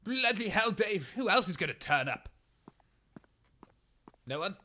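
A man's voice: high voice, sing-song, a little slow, pushed and bright, full character voice. A man voicing a bumbling, sarcastic male presenter who stammers and sounds exasperated.

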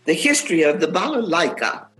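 A woman's voice: lowish voice, sing-song, a little fast, fairly bright tone, faint music behind, poor audio. An actress playing a fussy, anxious germophobic woman in a radio play, with precise, prissy, easily exasperated delivery. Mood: curt. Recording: home-recorded virtual radio play.

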